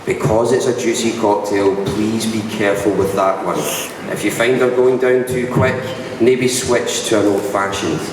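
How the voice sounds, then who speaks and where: A man with a Scottish accent presents to a crowd at a launch event, wry and self-mocking as he talks. He sounds distant from the microphone, and his voice echoes around a large hall with a long tail of reverb. Steady background noise sits under the speech. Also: on the low side, normal speed. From Scotland. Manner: dry self-deprecating humour.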